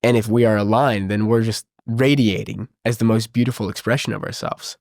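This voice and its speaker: A man's voice: lowish voice, fairly even intonation, a little fast, a little mellow, no echo, clean studio sound. A man with a stuffy nose, talking earnestly and enthusiastically in a casual spiritual conversation. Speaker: podcast host.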